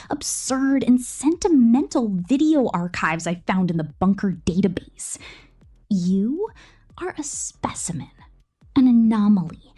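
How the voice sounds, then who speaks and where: A woman's voice: mid-range voice, warm tone, slightly rough. A woman playing a possessive, contemptuous killer robot girl in an ASMR villain roleplay, theatrical and domineering, often dropping into a whisper. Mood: cold contempt.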